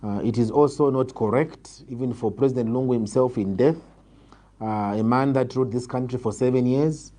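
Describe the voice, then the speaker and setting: A man with a Zambian accent answering political interview questions in a measured, formal, emphatic way. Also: medium pitch, dark tone. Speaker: political interviewee, party leader.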